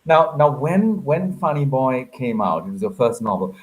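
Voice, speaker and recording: A man's voice: medium pitch, big pitch swings, moderate pace, poor audio. A man hosting an online author conversation, chatty and genteel in his phrasing. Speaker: moderator of a literary event.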